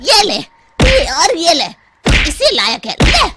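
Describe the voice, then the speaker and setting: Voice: very high-pitched, huge pitch swings, slow pace, very noisy, exaggerated voice. A performer voicing a vengeful, greedy male thief who poses as an invisible ghost, menacing and gloating, in a cartoon.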